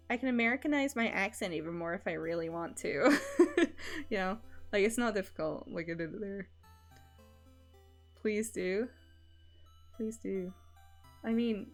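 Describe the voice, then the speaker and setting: A young woman with a soft mixed Edinburgh Scottish and Canadian accent, rambling casually and candidly on stream. Her pitch sits at an ordinary middle level for a woman, and she swears offhandedly. Faint music plays behind her. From Scotland.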